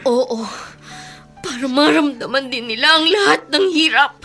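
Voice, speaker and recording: Radio drama: bitter rich lady boss, high voice, very animated, emotionally charged. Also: exaggerated voice. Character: embittered wealthy female boss, wounded by her past. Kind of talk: Tagalog radio drama acting.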